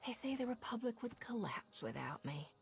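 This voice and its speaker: Wry female smuggler captain; husky, expressive, dry humor. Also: fairly high pitch, moderate pace, soft background music, full character voice. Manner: wry, self-assured banter. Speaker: player character.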